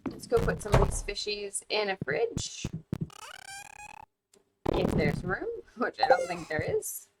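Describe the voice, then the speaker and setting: A woman's voice: medium pitch, wildly swooping pitch, slow, noisy background. A woman from the United States chatting casually while playing a game on her stream, thinking aloud with fillers. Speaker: streamer and host. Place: home, living abroad.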